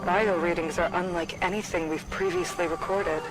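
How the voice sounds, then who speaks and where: Game squad analyst, male soldier male actor, high register, measured, wry, observant, over loud score. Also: steady tone, natural voice, rough recording. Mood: cool, curious. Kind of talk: video game cutscene acting. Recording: loud music behind. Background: loud game music.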